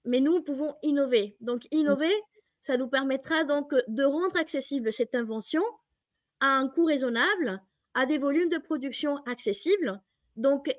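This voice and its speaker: Woman, Mexican accent, native Spanish speaker, high-pitched, dry close sound, explanatory interview answers. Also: no echo. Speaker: interviewed biotech industry professional.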